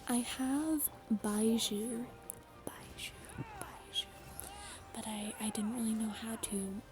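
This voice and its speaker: A young woman whispering her way through soft-spoken gaming chat. She rambles, with frequent ums, and her voice is a little raspy from a dry throat. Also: on the high side, slow, over music. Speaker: gaming YouTuber.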